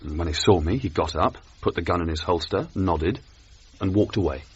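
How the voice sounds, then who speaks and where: Expressive well-spoken British athlete. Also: male voice, deep voice, moderate pace, breathy edge, exaggerated voice. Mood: dry, musing. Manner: articulate, understated, polite. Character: well-spoken, earnest 1930s British amateur race walker. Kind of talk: radio drama acting. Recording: dull radio recording, faint background noise.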